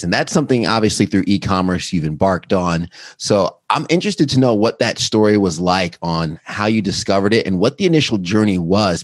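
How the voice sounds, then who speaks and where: A man's voice: lowish voice, dry recording. A man hosting a podcast, asking conversational questions. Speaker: podcast host. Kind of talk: podcast interview.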